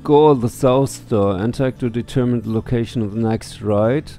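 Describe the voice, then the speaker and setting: A man's voice: medium pitch, normal speed, mellow. A middle-aged man doing let's play commentary and reading game text aloud into a headset. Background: game music.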